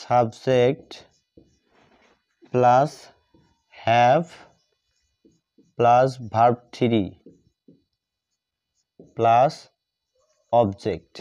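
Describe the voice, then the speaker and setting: Very slow, mellow Bengali-accented man teaching. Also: mid-range voice, steady tone, clear, resonant tone. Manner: deliberate, repeats phrases piece by piece. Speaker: English grammar teacher. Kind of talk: dictated grammar lesson, written out step by step. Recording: dull lo-fi microphone sound.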